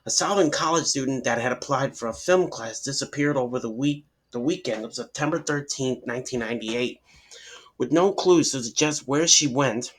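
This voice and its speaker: Guy reading a creepy horror story out loud, middle pitch, trips on words a bit. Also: moderate pace, poor audio. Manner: stumbles over words and restarts. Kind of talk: dramatic first-person horror story narration.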